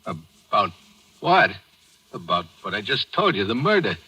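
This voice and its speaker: Vengeful noir lead for vintage radio drama, intense, very sharp rough-edged delivery. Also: male voice, fairly low pitch, very animated, measured pace, exaggerated voice, poor audio. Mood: tense, insistent. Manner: urgent, clipped, hard-boiled. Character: intense, vengeful hard-boiled man on the edge. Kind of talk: 1940s radio thriller acting. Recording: old, harsh radio drama recording.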